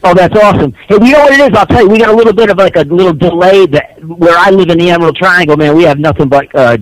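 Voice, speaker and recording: An older man from Rhode Island talks over a computer phone line. He tells his story in a relaxed, easygoing way and drops casual curses. His voice sits a little high and sounds dry. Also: quick pace, dry recording. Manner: laid-back storyteller, swears casually. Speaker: guest caller. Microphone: computer phone call.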